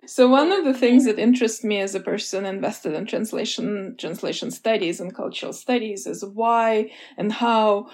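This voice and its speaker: Mellow-voiced woman, native Russian speaker. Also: medium pitch, normal speed, hardly any pauses. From Soviet Union. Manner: earnest, reflective, laughs between phrases. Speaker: guest. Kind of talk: personal storytelling in a podcast interview.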